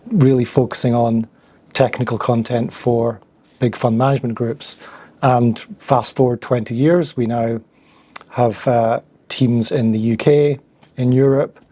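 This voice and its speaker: A middle-aged man from Scotland with a Scottish accent, answering interview questions in a relaxed way and often repeating words as he thinks. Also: mid-range voice, breathy edge. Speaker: guest, agency founder.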